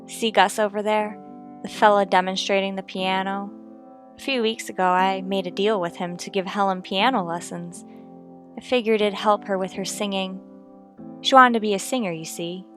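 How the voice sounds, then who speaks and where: A woman's voice: mid-range voice, fairly even intonation, moderate pace, lightly acted. A woman playing a wistful, reluctant piano saleswoman, subdued and hesitant, in a radio detective drama. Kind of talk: old-time radio detective drama acting.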